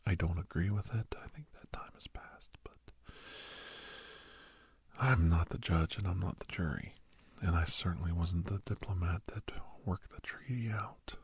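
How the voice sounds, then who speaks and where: A man playing a kindly doctor in an ASMR roleplay, speaking in a hushed, breathy whisper with pauses. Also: deep voice, exaggerated voice. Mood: gentle, frank. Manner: hushed, unhurried, with pauses and soft chuckles. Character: kindly doctor advising a young werewolf, old family friend.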